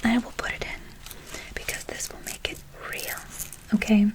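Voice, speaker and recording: A woman playing a gentle woodland witch in a whispered ASMR role play, soothing and affectionate, repeating and lingering on her words. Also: medium pitch, wildly swooping pitch, normal speed. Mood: gentle, instructing. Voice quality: soft, breathy whisper. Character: gentle, affectionate woodland witch casting a good luck spell.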